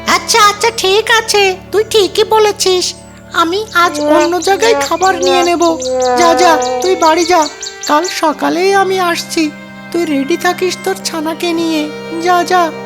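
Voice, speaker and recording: Very high-pitched greedy cartoon fox. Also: steady tone, measured pace. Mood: greedy, impatient. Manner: gloating, blustering, scornful. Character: sly greedy bullying fox villain. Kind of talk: children's cartoon story character acting. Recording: loud music behind.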